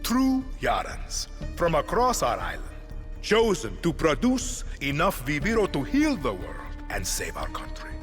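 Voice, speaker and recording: A man playing a menacing, smug island president in a game, speaking with a Latin American accent in a deliberate, theatrical manner. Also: on the high side, wildly swooping pitch, a bit bright, long reverb tail, soft background music, exaggerated voice. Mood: solemn, grandiose. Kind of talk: political speech and dramatic game acting.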